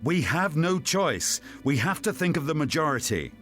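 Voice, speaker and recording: A man's voice: on the high side, very animated, normal speed, fairly bright tone, soft background music. A man playing a stern, commanding expedition officer in an audio drama, grave and accusing. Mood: resolute.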